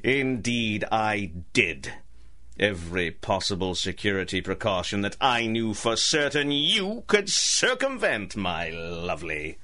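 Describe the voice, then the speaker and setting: An actor playing an embittered, scheming ruined gentleman in a radio drama, with formal, theatrical speech. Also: fairly low pitch, sing-song, a little slow, heavily acted. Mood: smug. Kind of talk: 1930s-set radio drama acting.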